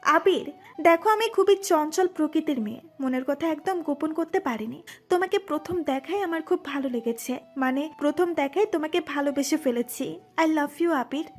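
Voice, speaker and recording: A woman's voice: high-pitched, moderate pace, lightly acted. A woman playing a bold, restless, cheeky teenage schoolgirl in an audio story. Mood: coy, forward. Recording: music under the voice.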